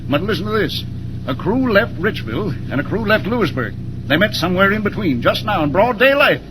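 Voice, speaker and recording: An actor playing a gruff, harried railroad superintendent in 1940s radio style, exclamatory and blustering. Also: male voice, high voice, sing-song, a little fast. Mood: bewildered. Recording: noisy old 1940s radio broadcast, vehicle noise.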